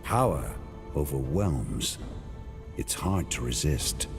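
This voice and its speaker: A man playing a stern, resolute, battle-worn warrior in a video game cutscene, speaking gravely and with measured weight. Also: extremely low pitch, big pitch swings, exaggerated voice. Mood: probing, wary. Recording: music under the voice.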